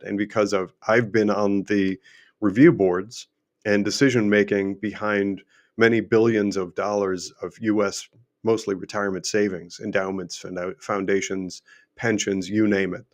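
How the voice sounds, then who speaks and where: A middle-aged man hosts a livestream and talks emphatically, asking question after question, and his voice is going hoarse. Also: on the low side. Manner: emphatic, repetitive, rhetorical questions. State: losing his voice. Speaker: host and finance adviser. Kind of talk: unscripted livestream monologue.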